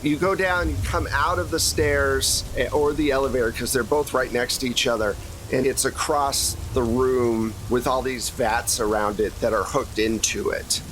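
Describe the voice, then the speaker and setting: A man's voice: mid-range voice, fairly even intonation, normal speed, fairly bright tone, a little hiss or hum, low-quality recording. A man working as game master, describing scenes for a tabletop horror game in an improvised, conversational way. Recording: music playing behind the voice. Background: music.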